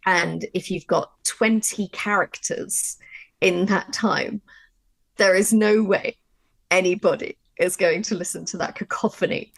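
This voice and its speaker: A woman's voice: on the low side, fairly even intonation, a little slow, creaky, poor audio. A woman talking casually and emphatically as a writer explaining her craft in a workshop discussion. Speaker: audio drama writer.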